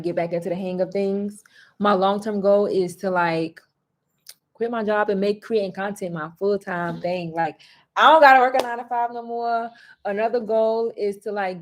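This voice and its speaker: A woman who makes content online talks as a podcast guest. Her speech is relaxed and casual, full of "like", and she keeps a medium pitch throughout. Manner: relaxed, leans heavily on "like" as filler.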